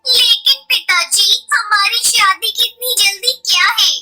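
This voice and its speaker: Squeaky pleading young bird. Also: female voice, steady tone, unhurried, pushed and bright, soft background music, full character voice. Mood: questioning. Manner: pleading, earnest. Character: young female bird, sensible wronged twin sister.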